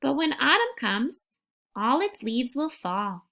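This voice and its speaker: A woman reads aloud to young children in a high voice at a slow, deliberate pace. Her tone has a creaky edge and her phrasing is careful and instructive, like a teacher at story time. Music plays along behind her. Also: very animated, sharp tone. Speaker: teacher reading a picture book to children.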